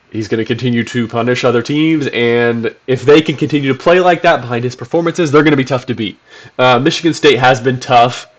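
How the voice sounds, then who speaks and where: Congested male host, mid-pitch, loose rambling delivery, slightly muffled lo-fi audio. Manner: loose, rambling, lots of ums and restarts. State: congested from a cold. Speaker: basketball channel host. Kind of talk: casual solo commentary video.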